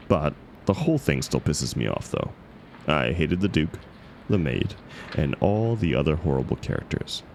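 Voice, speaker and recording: Guy acting a grumpy book club hater, super deep voice, lots of swoops, sarcastic and fed up, audio roleplay. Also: gravelly, a little mellow, slight background noise, heavily acted. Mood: annoyed. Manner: sarcastic, emphatic, indignant. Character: grumpy, exasperated man ranting at a book club. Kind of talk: scripted audio roleplay acted as one side of a conversation.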